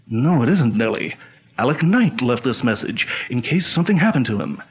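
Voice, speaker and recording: An actor playing a calm, authoritative detective chief, speaking in a measured, deliberate way in a 1940s-style radio drama. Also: male voice, medium pitch, natural voice. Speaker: lead detective. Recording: dull lo-fi old radio recording.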